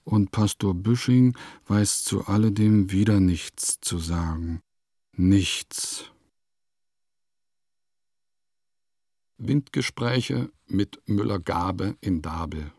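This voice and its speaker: An actor playing a wry traveling letter-writer in a radio play, with a measured, deliberate delivery that savors every odd detail. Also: male voice, low-pitched, a little mellow, dry recording, studio quality, full character voice. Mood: wry, savoring. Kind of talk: literary radio play monologue, scripted narration.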